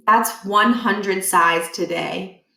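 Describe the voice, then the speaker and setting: A woman reads a children's story aloud in a very bright, medium-pitched voice. She gives key words deliberate emphasis with a playful deadpan touch. The room around her is clearly echoey. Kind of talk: expressive children's book read-aloud.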